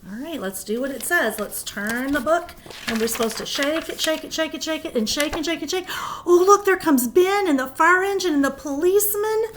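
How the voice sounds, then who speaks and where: A woman with a fairly high voice reads a picture book aloud as a teacher would for small children. She is expressive and animated, adding little asides to her listeners. There is some background noise in the recording. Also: normal speed. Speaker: elementary school teacher reading aloud.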